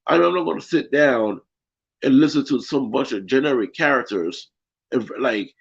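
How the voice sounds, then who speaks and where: A man's voice: mid-range voice, moderate pace, vocal fry, breathy edge, highs cut off. A man hosting a casual gaming podcast, losing his voice and making his point emphatically, often asking "right?". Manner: emphatic, repeats himself, keeps asking "right?". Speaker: podcast host.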